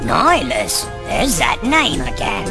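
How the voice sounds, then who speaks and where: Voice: mid-range voice, wildly swooping pitch, slow pace, over music, heavily acted. An actor playing a manic, pompous villain doctor in a video game, with a German accent and a theatrical, sarcastic manner. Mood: sarcastic, suspicious.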